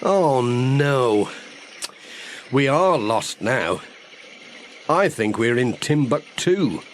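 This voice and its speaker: A man plays a friendly village postman from a children's audiobook. He chats and muses to himself with plenty of rise and fall, at an unhurried pace. There is a little noise on the recording. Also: medium pitch, big pitch swings. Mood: exasperated. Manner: cheerful musing, talks to himself. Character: friendly, chatty village postman, muttering to his cat. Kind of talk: children's audiobook character acting.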